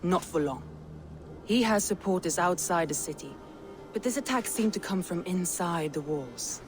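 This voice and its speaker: A woman's voice: fairly low pitch, expressive, moderate pace, natural voice. A woman voicing a proud, resolute Viking lord and war leader in a video game, commanding, guarded and earnest. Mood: bitter, determined. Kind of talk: video game dramatic acting. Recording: music behind the voice.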